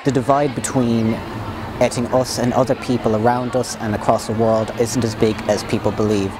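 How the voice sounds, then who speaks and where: An Irish teenage boy gives measured, thoughtful answers. A steady background noise is audible. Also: medium pitch, a crowd in the background. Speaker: secondary school student.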